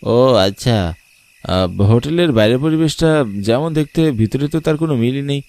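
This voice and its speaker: A man voicing an uneasy city gentleman back in his village, polite and questioning, in a cartoon. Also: mid-range voice, normal speed, dry recording, voice pushed up, lightly acted. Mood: uneasy, doubtful. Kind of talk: cartoon dialogue. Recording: background music, night insects.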